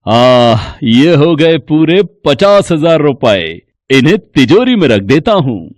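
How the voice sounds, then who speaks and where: A man plays a self-satisfied rich merchant in a moral story. He speaks slowly with lively swings in pitch, recorded dry and close. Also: no room sound. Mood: smug.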